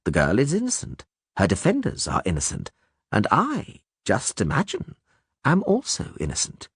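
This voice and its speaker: A man playing a calm, coldly ironic barber surgeon in a fantasy audiobook, speaking in a measured, mocking way. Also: mid-range voice, breathy edge, dry recording, studio quality, full character voice. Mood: cool irony.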